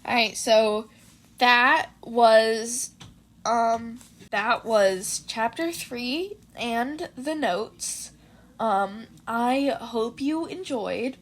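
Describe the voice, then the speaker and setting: A woman's voice: fairly high pitch, extremely slow, a bit bright. A woman podfic reader narrating fan fiction and chatting informally about it in a slangy way.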